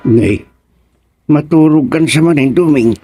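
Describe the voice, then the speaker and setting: High-voiced, jittery Ilocano comic sidekick. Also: male voice, unhurried, dark tone, faint music behind. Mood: puzzled. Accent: Ilocano Filipino. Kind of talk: Ilocano radio comedy drama acting.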